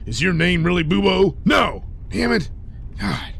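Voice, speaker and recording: A crude, impatient masked vigilante, as a man acts him out in a comic audio play. His gravelly voice swings up and down as he barks at his sidekick, over a strong background hiss. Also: high-pitched, sing-song, a little slow, fairly bright tone, pitched above the performer's own voice, full character voice. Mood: exasperated. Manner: gruff, impatient, swearing. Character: crude, bossy adult male masked vigilante. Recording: noisy home recording.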